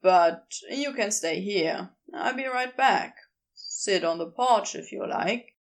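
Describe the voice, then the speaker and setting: Woman voicing an easygoing man, pitched well down. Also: animated, takes it slowly. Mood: caring. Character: easygoing, teasing, encouraging man, proud rock enthusiast. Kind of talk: audiobook character dialogue.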